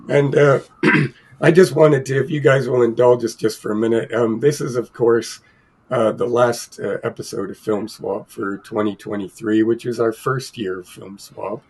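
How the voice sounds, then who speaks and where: A man co-hosting a casual film podcast, sounding croaky from a cold and rambling with many fillers and restarts. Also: mid-range voice, steady tone, normal speed, a little mellow. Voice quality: gravelly, husky. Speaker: podcast co-host.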